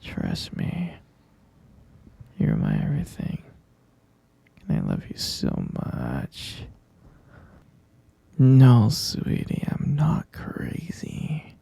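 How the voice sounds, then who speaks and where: A man playing a sweetly menacing, possessive boyfriend captor in a whispered ASMR roleplay, cooing and coaxing in a husky, halting voice. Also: mid-range voice, huge pitch swings, mellow, dry recording, exaggerated voice. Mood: insistent, defensive. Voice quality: breathy, husky whisper with gravelly creak.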